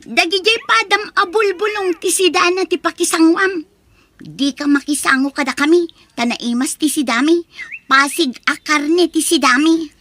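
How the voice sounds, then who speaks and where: A woman's voice: extremely high pitch, moderate pace, bright, forceful tone, dry recording. A woman playing a snobbish young rich girl in an audio drama, haughty and taunting. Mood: mocking, disgusted. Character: snobbish, sneering young rich girl who mocks the poor.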